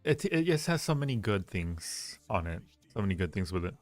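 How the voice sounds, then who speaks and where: A low-pitched male livestream host chats casually in a playful, teasing way, often rambling and circling back over phrases. The sound is slightly roomy, with faint music playing behind him. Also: very animated, fairly quick. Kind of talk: casual livestream chat and ranking commentary.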